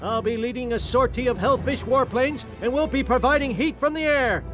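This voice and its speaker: A cranky old cartoon grandpa, very high reedy voice, barked mission orders, music behind. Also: male voice, normal speed. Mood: barking orders. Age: older. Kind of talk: cartoon game cutscene.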